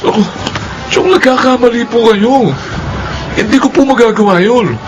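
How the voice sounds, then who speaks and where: A timid young Filipino in a radio drama. His voice is high for a man, polite and pleading, always deferring to his elder. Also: normal speed, music in the background, low-quality recording. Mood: anxious, defensive. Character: timid, respectful young man Roy, wrongly accused.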